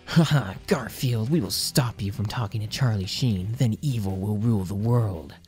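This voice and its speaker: A man's voice: fairly low pitch, moderate pace, darkish tone, faint music behind, lightly acted. A man voicing gloating evil enemy fighter jets, taunting melodramatically in an absurd storybook reading. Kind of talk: absurd fan fiction audiobook reading.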